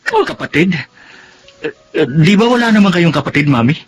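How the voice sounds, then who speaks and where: A man acts out a respectful grown son in a Filipino melodrama. His voice is a little high for a man. He sounds earnest, curious and polite. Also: expressive, moderate pace, slight background noise, close to an everyday voice. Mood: surprised.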